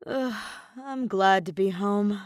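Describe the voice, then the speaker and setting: A woman's voice: medium pitch, takes it slowly, no room sound, studio quality, close to an everyday voice. A woman voicing a weary, awkward young male traveler in a fantasy story, hesitant and sighing. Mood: relieved.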